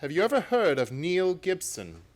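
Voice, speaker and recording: An actor playing a self-assured gentleman detective in an old-time radio play, formal, articulate and wry. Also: sits high, huge pitch swings, moderate pace. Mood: brisk, explanatory.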